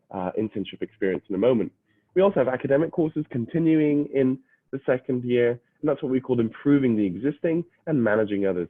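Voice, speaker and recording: A man's voice: mid-range voice, dry recording. Man, young adult, half British, explanatory presenter, phone-like sound, dry. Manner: explanatory, many filler uhs and restarts. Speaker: university admissions representative. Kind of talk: online presentation to prospective students.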